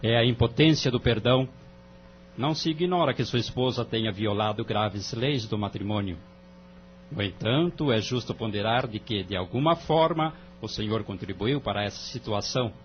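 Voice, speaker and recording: Man playing a measured, moralizing Brazilian judge. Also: medium pitch, a little hiss or hum, close to an everyday voice. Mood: firm, counselling. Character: calm, wise male family-court judge counselling a couple. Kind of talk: audio drama acting. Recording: dull lo-fi audio, music underneath.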